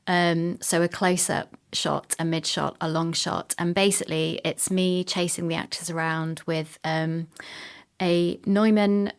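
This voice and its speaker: Here is a woman with a slightly low-pitched voice. She is a guest in a podcast interview, explaining her working life in a conversational way. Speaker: guest, sound designer and drama producer. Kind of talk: podcast interview talk about work.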